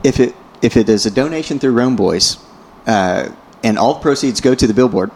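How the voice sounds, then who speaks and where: A man's voice: medium pitch, moderate pace, darkish tone, husky. A man co-hosting a casual chat show, explaining things step by step with wry jokes. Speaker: podcast co-host.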